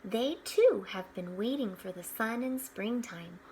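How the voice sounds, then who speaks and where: A woman reading a picture book aloud to children, expressively, pausing for explanatory asides. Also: mid-range voice, a little hiss or hum, low-quality recording. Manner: expressive, with hushed asides pointing things out.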